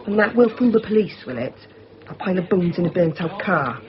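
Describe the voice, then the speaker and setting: A woman's voice: on the low side, lively intonation, fairly quick, lightly acted. An actress in a radio drama playing a sceptical, sardonic woman who challenges and questions with dry wit. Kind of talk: 1990s radio drama acting. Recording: muffled radio drama, crowd noise behind.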